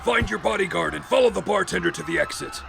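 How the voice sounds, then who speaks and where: A man's voice: quick pace, a bit bright, faint music behind, some chatter behind, heavily acted. A man playing a grim hooded vigilante in an audio drama, speaking in a terse, commanding growl. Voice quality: low gravelly growl.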